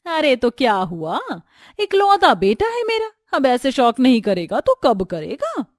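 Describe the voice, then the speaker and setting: Proud, doting older mother-in-law for a storybook drama, high voice, very dry sound, played by a female narrator. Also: wildly swooping pitch, measured pace, dry recording, heavily acted. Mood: dismissive.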